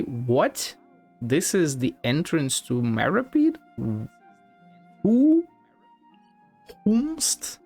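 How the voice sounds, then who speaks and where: A game streamer thinking out loud in a playful, foul-mouthed way. The delivery is unhurried with lots of vocal fry, and the pitch jumps around. Faint music sits underneath. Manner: playful, sweary, talking to self. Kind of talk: casual gameplay commentary.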